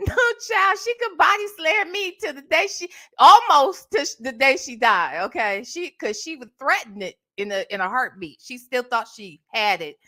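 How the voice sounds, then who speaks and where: Casting: middle-aged American woman, livestream storyteller, higher-pitched voice, sassy and emphatic, conversational delivery. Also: moderate pace, few pauses. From Ohio, United States. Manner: animated, emphatic, sassy, conversational with tag questions. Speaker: livestream host. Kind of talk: casual storytime chat.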